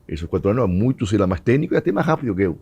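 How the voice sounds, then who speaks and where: Uruguayan ex-footballer, native Spanish speaker, low voice, storytelling guest. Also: male voice, very animated, very fast, warm tone, husky. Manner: mixes Portuguese and Spanish, laughs often. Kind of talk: storytelling interview.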